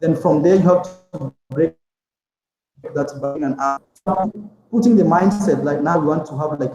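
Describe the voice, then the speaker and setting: A man's voice: a little high, darkish tone. A young man, a guest telling stories in a casual interview, rambling with lots of fillers and restarts. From Kenya. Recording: echoey room with long reverb.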